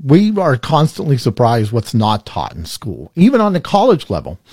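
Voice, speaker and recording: Relaxed man hosting, dry close sound. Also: medium pitch, normal speed, husky, no room sound. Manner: relaxed, brief affirmations. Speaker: podcast host. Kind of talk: podcast interview.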